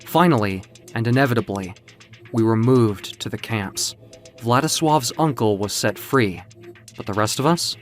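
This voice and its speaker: A narrator telling a dramatic story in a measured, literary, theatrical way, as in an audio comic book. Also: medium pitch, faint music behind.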